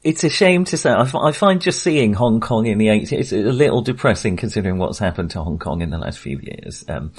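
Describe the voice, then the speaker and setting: A man's voice: lowish voice, lively intonation, fairly quick, few pauses, husky. A man casually discussing a film as a podcast co-host, in a hesitant, rambling way.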